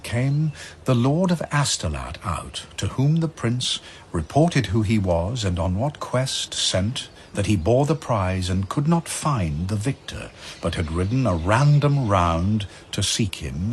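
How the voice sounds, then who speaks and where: A man narrating an old verse tale as scripted drama, solemn and deliberate. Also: lowish voice, very animated, slight background noise.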